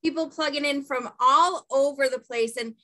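A high, bright-voiced woman with a Boston-area New England accent leads an online training session. She sounds peppy and encouraging, and her voice is picked up by a webcam mic in a small room. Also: moderate pace. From New Hampshire, US. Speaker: host and coach. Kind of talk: upbeat training webinar. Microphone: webcam on a video call.

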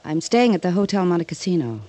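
Coy, flirtatious socialite. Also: female voice, on the low side, sing-song, fairly quick, dark tone. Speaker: actress in a radio detective drama. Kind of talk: 1950s radio drama acting. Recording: old radio broadcast recording.

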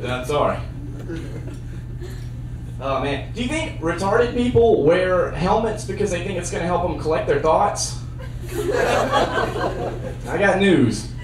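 A young man doing a stand-up comedy set with a handheld mic on a theater stage, trading dry one-liners for sudden loud bursts. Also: high-pitched, wildly swooping pitch, slow, pauses often, background noise. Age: young adult. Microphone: handheld mic on a cord. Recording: roomy sound from a far camera.

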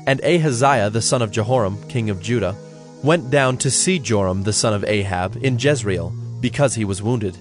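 A man narrating scripture for a dramatized audio Bible in a measured, solemn reading style. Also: lowish voice, soft background music.

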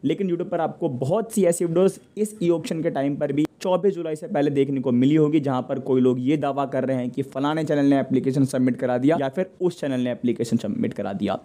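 A man's voice: medium pitch, a little fast, hardly any pauses, dark tone. A man hosting a news update, narrating informally and speaking directly to viewers in a conversational way. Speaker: host of a TV channel news update show.

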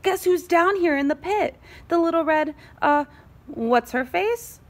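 A woman's voice: very high-pitched, animated, unhurried, pitched above the performer's own voice, full character voice. A woman voicing a dim, forgetful male eraser in a storybook, friendly and fumbling for words. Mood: clueless.

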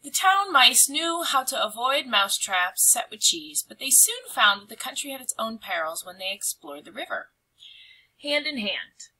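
Woman reading kids picture book out loud, dramatic, really bright but husky voice. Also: sits high, big pitch swings, moderate pace, poor audio. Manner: dramatic, with hushed and emphatic phrasing. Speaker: read-aloud storyteller. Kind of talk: expressive picture book read-aloud.

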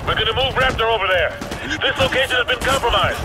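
An actor playing a tough, commanding army sergeant in a combat video game, barking urgent orders. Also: male voice, very high-pitched, fast. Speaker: squad leader. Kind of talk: shouted military game dialogue. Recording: heavy gunfire over the voice. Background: loud gunfire and battle noise.

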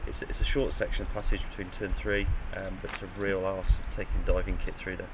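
A man's voice: sits low, little pitch movement, fairly quick, noisy background. A man describing a cave passage, hesitant and repeating his words. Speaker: cave diver. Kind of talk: interview recap.

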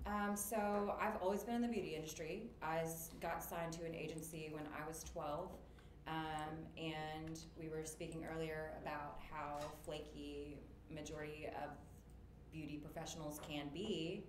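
A woman's voice: low-pitched, flat delivery, moderate pace. A woman in her thirties telling her career story on a video call panel, talkative and full of fillers. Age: 30s. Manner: chatty, lots of fillers, laughs easily. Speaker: guest, makeup artist business owner. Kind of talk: casual panel chat. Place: indoor room, on a video call. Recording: roomy webcam audio with noise.

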